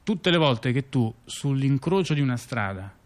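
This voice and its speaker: A man's voice: mid-range voice, animated, normal speed. A man telling a story conversationally on stage, repeating words, stumbling and throwing in rhetorical questions. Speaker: performer in a two-person theatre dialogue. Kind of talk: conversational storytelling to an audience member.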